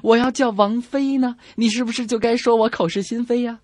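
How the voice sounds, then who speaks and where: A woman co-hosting a comedy radio show, trading scripted banter in an animated, bickering manner. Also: fairly high pitch, brisk. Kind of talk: scripted comic banter between radio hosts.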